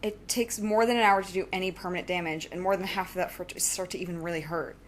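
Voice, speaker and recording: A woman's voice: lowish voice, fairly even intonation, fast talker, slight background noise, close to an everyday voice. A young woman playing a driven, defiant student supervillain and inventor in an audio drama, speaking with insistent earnestness. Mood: defensive, frustrated.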